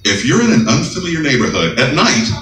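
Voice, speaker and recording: A deep-voiced stand-up comedian in his fifties works a small coffeehouse crowd with wry, deadpan punchlines. His delivery leaps around in pitch, low rumbling lines then animated bursts. The recording is roomy and picked up from across the room, with audible room noise behind him. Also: quick pace, recorded from a distance. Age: 50s. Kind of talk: stand-up set. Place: small coffeehouse, live audience.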